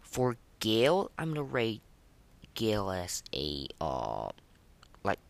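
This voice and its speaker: A man's voice: lowish voice, wildly swooping pitch, slow, creaky, dry recording. A young man hosting a casual, unscripted podcast of game ratings in a hesitant, rambling, informal way. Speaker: podcast host.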